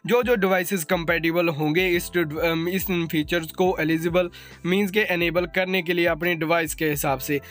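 A man's voice: on the high side, steady tone, normal speed, a bit bright. A man delivers a tech update voice-over. He talks informally to his viewers as a channel host, dropping in English terms, over faint background music.

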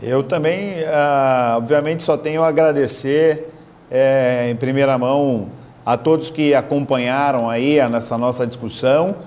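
A man in his thirties with a Brazilian Portuguese accent, giving earnest closing remarks in a studio on a lapel mic, with lively gestures and frequent fillers. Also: medium pitch, unhurried. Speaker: guest professor in the studio. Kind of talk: closing remarks on a talk show. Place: studio set.

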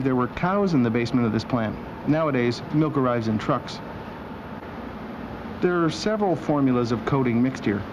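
A man narrating a scripted documentary as host, with a wry, deadpan sense of humor. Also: medium pitch. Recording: dull old video soundtrack with machinery noise.

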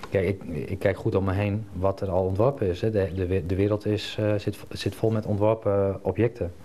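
A Dutch man with a low, warm, dark voice. He talks casually and hesitantly in an interview, over a faint hum. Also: level tone, a little fast. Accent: Netherlands Dutch. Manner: hesitant, many long hesitations. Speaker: interior designer being interviewed. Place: indoor design studio.

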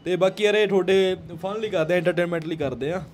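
A man's voice: sits high, fast talker, a little mellow, slight background noise. A man, bantering casually as a prank-call vlogger. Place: small indoor gaming room.

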